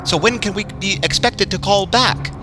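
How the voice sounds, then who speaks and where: A man's voice: sits high, moderate pace, noisy background, pitched above the performer's own voice, close to an everyday voice. A man voicing a skeptical young male haunted-house worker who speaks in a questioning, doubtful way.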